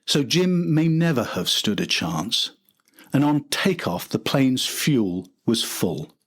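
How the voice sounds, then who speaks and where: A man's voice: medium pitch, sing-song, takes it slowly, breathy edge. An older man narrating a documentary from a script.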